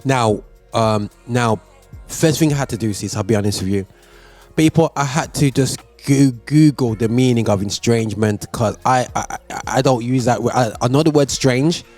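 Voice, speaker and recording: A man hosting a radio show interview, talking in an animated, chatty way full of fillers. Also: medium pitch, normal speed, soft background music.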